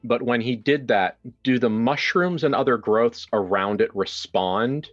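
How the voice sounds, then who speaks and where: A man's voice: medium pitch, a little slow, dull audio. A man improvising narration for a tabletop roleplaying game in a casual way, thinking aloud as he goes. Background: music.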